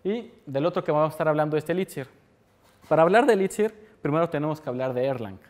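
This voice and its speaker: A man's voice: a little high, quick pace, darkish tone. A man in his thirties from Mexico with a Mexican Spanish accent, giving a technical conference talk and answering his own questions as he explains. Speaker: conference speaker. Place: lecture room with audience.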